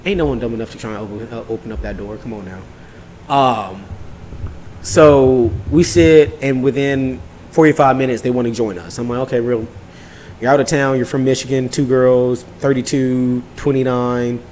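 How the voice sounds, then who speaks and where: A man's voice: medium pitch, moderate pace, slight background noise, rough recording. A man telling a casual podcast story as co-host, slangy and profane, acting out both sides of conversations. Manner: slangy, profane, animated retelling with acted-out dialogue.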